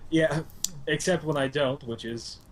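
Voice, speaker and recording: A man co-hosting a live radio podcast, speaking haltingly with many fillers. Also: a little high, a little hiss or hum. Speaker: co-host. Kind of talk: casual live podcast chat.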